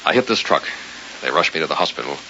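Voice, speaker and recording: An actor playing a frightened, bewildered man with a gravelly voice, terse and defensive, in old-time radio thriller style. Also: a bass voice, animated, quick pace, pushed and bright, heavily acted. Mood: anguished, confessing. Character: frightened, bewildered man haunted by a supernatural bargain. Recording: hissy, dull old radio recording.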